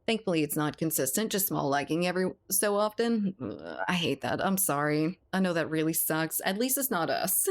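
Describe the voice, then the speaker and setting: A woman's voice: low-pitched, lively intonation, normal speed. A woman from South Louisiana streaming a game, chatting casually with her viewers and laughing often. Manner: chatty, laughs often, reads and answers chat. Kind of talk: casual live-stream chat while gaming.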